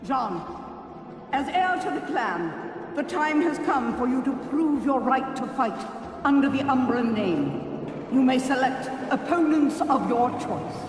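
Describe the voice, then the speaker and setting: A man's voice: very high voice, very animated, measured pace, pauses often, full character voice. An actor playing a stern, rigid elder of a witch clan in a video game cutscene, speaking in formal, solemn proclamations. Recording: echoing hall, distant voice.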